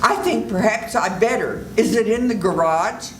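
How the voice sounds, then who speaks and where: An older woman reading the part of a calm, commanding doctor in a live old-time radio play. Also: medium pitch, normal speed, slight background noise, lightly acted. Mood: steady. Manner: firm, reassuring, commanding. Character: calm, take-charge country doctor. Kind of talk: 1940s radio drama reading. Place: senior center event room. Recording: echoey room with loud music.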